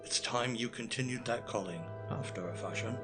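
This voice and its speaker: Audio drama: old wizard commander, male actor. Medium pitch, breezy, courtly, sly, theatrical; music underneath. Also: moderate pace, close to an everyday voice. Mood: genial, sly.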